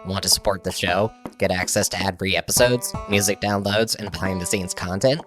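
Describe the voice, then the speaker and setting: A man's voice: deep voice, fairly even intonation, fairly quick, fairly bright tone, faint music behind. A man reading a scripted podcast outro as the show's announcer.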